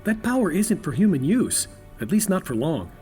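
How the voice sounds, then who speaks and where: A middle-aged scientist and old ranger, played by a man in a fan audio drama, speaking in a calm, measured way. His voice is fairly high for a man and moves lively up and down. Faint music runs under him. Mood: thoughtful.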